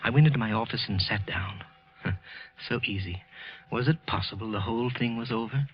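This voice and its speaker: A man's voice: fairly low pitch, brisk, a little hiss or hum, natural voice. A man acting a nervous, resentful clerk who secretly killed, with a hesitant, evasive manner, in an old-time radio suspense play. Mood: tense, brooding. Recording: muffled vintage radio recording, background music.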